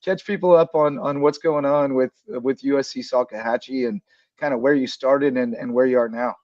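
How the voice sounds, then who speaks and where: A man hosting a casual basketball talk show with a voice a bit raspy from shouting the day before, rambling easily with lots of fillers. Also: mid-range voice, fairly even intonation, moderate pace, no room sound. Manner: easygoing, rambling with many fillers. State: slightly raspy from coaching. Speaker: podcast host. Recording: dull, dry sound.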